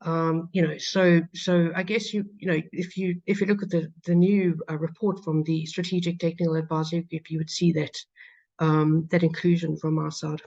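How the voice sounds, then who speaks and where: A woman with a South African accent giving a conference talk as a patient advocate, pausing often with fillers and restarts. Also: sits low, flat delivery, a little mellow. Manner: hesitant, many fillers and restarts. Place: lecture hall. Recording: dull lo-fi sound.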